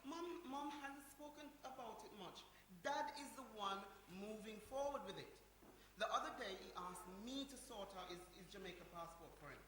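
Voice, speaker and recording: A woman's voice: medium pitch, expressive, moderate pace, a bit bright, close to an everyday voice. A woman playing an insistent, worried sister in a radio play, exasperated with her brothers and repeating herself. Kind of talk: live-performed radio play. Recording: echoey hall, faint noise.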